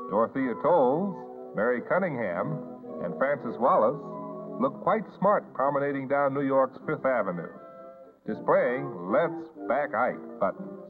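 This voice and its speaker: A man's voice: medium pitch, animated, a little slow. An American man narrating a 1950s newsreel in a polished, scripted announcer style, on an old film soundtrack. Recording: tinny band-limited 1950s newsreel soundtrack. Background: newsreel music.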